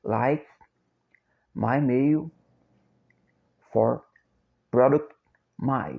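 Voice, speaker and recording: A middle-aged Brazilian man with a Portuguese accent, speaking English slowly and haltingly in a repetitive, chant-like monologue. He repeats short phrases over and over like a mantra. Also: mid-range voice, vocal fry. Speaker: self-described copywriter and philosopher. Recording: dull lo-fi recording.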